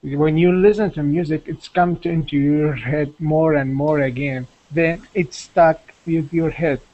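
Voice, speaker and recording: Give me a male language student, slightly high voice, hesitant and searching for words over a muffled call. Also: fairly even intonation, unhurried. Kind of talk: self-introduction in class. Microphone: webcam video call. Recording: muffled video-call audio.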